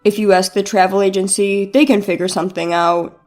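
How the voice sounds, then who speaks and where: A woman's voice: mid-range voice, steady tone, fairly quick, darkish tone, soft background music, pitched below the performer's own voice, lightly acted. A woman voicing an entitled, oblivious young husband with a nonchalant, matter-of-fact manner in a dramatized story.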